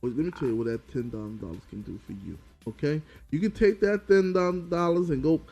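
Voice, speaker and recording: A man's voice: a little high, quick pace, creaky, a little mellow, faint music behind. Pushy man co-hosting a podcast. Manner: pushy, persistent, repeats his point. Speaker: podcast co-host. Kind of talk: casual chat.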